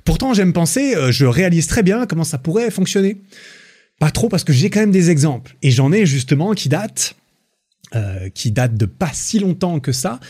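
Mellow-voiced young Frenchman rambling casually. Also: a little high, normal speed, slightly rough. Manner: relaxed, rambling, many fillers and restarts, laughs at himself. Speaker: podcast host. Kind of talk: casual solo podcast monologue.

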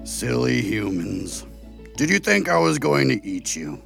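A man playing a huge, jovial furry beast who teases in a gravelly, husky voice in a children's audio drama. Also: very animated, a bit bright, faint music behind, heavily acted. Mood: amused, teasing. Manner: unhurried, booming, playfully teasing.

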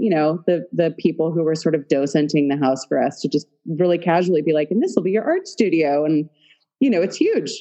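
Female guest artist, very low, mellow voice, earnest and effusive, speaking over a video call. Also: muffled recording. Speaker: guest artist and painting teacher. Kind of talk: casual interview chat. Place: home studio in a converted dance studio.

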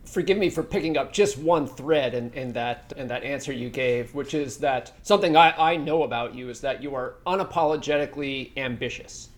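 A man co-hosting an interview, asking a long question with halting restarts. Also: mid-range voice. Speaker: podcast co-host.

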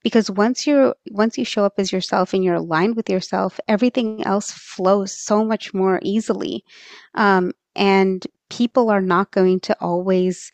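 A woman's voice: medium pitch, steady tone, measured pace, no room sound. A woman from Texas, a podcast guest, giving earnest and thoughtful advice in a conversational way, with frequent fillers. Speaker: interview guest.

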